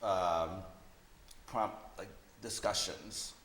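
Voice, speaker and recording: Deep-voiced man in a roomy space. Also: extremely slow, a bit bright. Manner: reflective, peppered with "you know". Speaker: visual artist being interviewed. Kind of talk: casual conversation. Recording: roomy echo, faint background noise.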